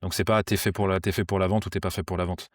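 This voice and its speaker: A man's voice: low voice, level tone, fast talker, no room sound, clean studio sound. A young man, a sales coach, talking casually and hesitantly with many fillers and restarts. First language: French. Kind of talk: casual podcast conversation.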